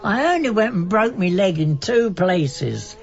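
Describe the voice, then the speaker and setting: Sentimental old wife, radio drama. Very animated intonation, chatty and stubborn, slightly dull broadcast sound. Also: female voice, medium pitch, measured pace, faint music behind. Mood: wistful, nostalgic. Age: older. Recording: dull, band-limited radio broadcast recording.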